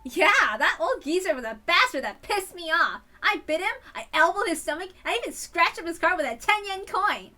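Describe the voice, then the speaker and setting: A woman's voice: very high-pitched, big pitch swings, moderate pace, hardly any pauses, sharp tone, pitched above the performer's own voice, full character voice. A woman voicing a feisty, bratty teenage daughter in a story read-aloud. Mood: indignant, defiant. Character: feisty teenage daughter, sulky, foul-mouthed.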